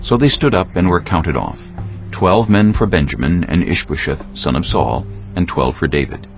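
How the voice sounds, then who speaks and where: A man giving measured, solemn, dramatic narration of scripture as a scripted reading. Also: low-pitched. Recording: dull lo-fi audio with music.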